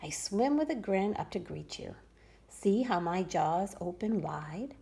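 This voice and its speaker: A woman's voice: medium pitch, expressive, unhurried, gravelly, dark tone. A woman playing a sly, grinning shark. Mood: coaxing. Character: sly, grinning shark luring you closer.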